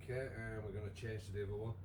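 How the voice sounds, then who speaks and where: A man with a croaky voice, leading a live online exercise class with many fillers. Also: fairly low pitch, steady tone, very fast, background noise. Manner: chatty, encouraging, lots of fillers. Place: home room with wall and bar. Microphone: device camera, moves away at times.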